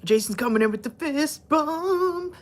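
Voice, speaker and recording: A woman's voice: high voice, animated, measured pace. A woman hosting a casual live stream, chatting with viewers and cooing at her cats, laughing often and rambling with fillers as her voice starts to go. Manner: giggly, playful baby-talk to her cats. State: losing her voice. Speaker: livestream host talking to viewers and her cats.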